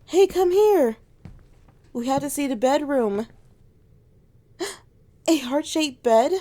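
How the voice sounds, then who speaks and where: High-voiced tsundere she-wolf, acted by a woman. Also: expressive, takes it slowly, mellow. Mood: excited, admiring. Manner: teasing, flustered stammering. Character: tsundere alpha she-wolf on honeymoon, teasing, scared of heights. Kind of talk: romantic ASMR roleplay acting.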